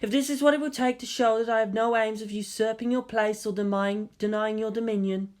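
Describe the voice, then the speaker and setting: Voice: medium pitch, voice pushed up, lightly acted. A reader doing a cool, scheming young woman. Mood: composed. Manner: calm, measured, quietly insistent.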